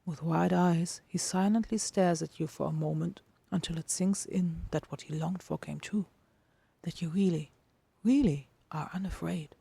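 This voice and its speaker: Low, husky, creaky woman narrating fiction. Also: steady tone, moderate pace, warm tone, no echo. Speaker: podfic narrator. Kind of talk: dramatic scripted fiction narration.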